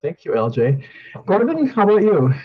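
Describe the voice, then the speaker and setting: Older man, co-chair hosting a video call; halting, filler-heavy, dull lo-fi sound. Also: sits high, wildly swooping pitch, husky. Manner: hesitant, many fillers. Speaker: co-chair and meeting host. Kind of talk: casual webinar hosting.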